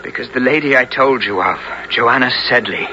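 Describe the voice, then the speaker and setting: An actor playing a brave, loyal young squire who both narrates and speaks in a radio drama, in earnest, formal, archaic language. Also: male voice, medium pitch, normal speed, background noise, natural voice. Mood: downcast, determined. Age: young adult. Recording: muffled old radio broadcast with music.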